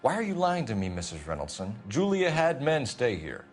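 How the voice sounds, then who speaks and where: A man's voice: mid-range voice, wildly swooping pitch, a little fast, faint music behind. A man playing a stern 1940s police detective, questioning a witness formally and probing for answers in a video game scene. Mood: accusing.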